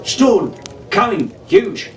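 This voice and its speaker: A cocky, excitable teenage pirate captain from an adventure storybook, played by a male reader. He talks with swagger. The recording is roomy, with faint noise. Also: sits high, extremely slow, voice pushed up, exaggerated voice. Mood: panicked. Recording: echoey room, distant mic, faint hiss.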